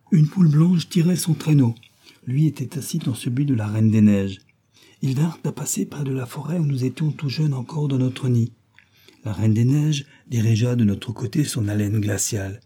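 A man's voice: mid-range voice, warm tone, husky. A man voicing a pair of frightened wood pigeons who talk in an urgent, pleading way.

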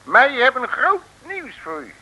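Bumbling detective faking a pompous mandarin, high sharp voice swooping about, old radio serial. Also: male voice, huge pitch swings, a little slow, heavily acted, poor audio. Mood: pompous, insistent. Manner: mock-pompous broken pidgin speech, muttering asides. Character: bumbling male detective posing as a grand Eastern mandarin. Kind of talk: 1960s radio comedy serial acting.

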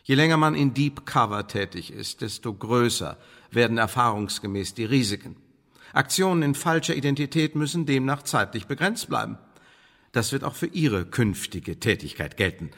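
An actor playing a suave, menacing Elizabethan secret service chief in a radio drama, speaking with measured, officious politeness and veiled threats. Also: male voice, mid-range voice, expressive, gravelly, a bit bright. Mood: officious, warning. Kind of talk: German radio drama acting. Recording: reverberant hall-like room.

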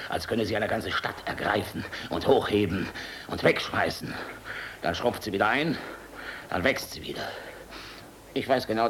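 An actor playing a defiant, world-weary middle-aged general in a German radio drama, sardonic, brooding and biting. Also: male voice, low voice, a bit bright, full character voice. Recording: clearly noisy radio drama recording.

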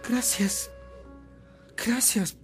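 A man's voice: extremely high pitch, steady tone, very slow, faint music behind, exaggerated voice. An actor playing a humble, grateful young man bound for the priesthood in a radio drama, earnest and emotional. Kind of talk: Spanish-language radio drama acting.

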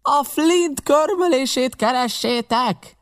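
Furious blind old pirate, high shouting. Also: male voice, expressive. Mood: impatient, demanding. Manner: shouting, cursing, commanding. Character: furious blind old beggar pirate leader, barking orders. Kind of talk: audiobook character acting.

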